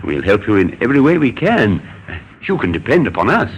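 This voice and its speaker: An actor playing a courteous, hesitant village vicar in an old-time radio drama, on a noisy, crackly recording. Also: male voice, lowish voice, sing-song, a little fast, muffled recording. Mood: obliging.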